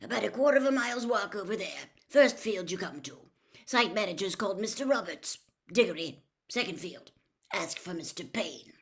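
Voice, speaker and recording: A woman voicing a weary, wry male wizard official in a storybook reading, brisk and matter-of-fact. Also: medium pitch, animated, close to an everyday voice.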